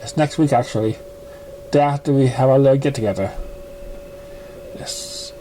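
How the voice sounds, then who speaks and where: Mellow-voiced man reading a story haltingly. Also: mid-range voice, low-quality recording. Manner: stumbling, halting reading with misread words. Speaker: amateur fan fiction reader. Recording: noticeable background noise, amateur recording.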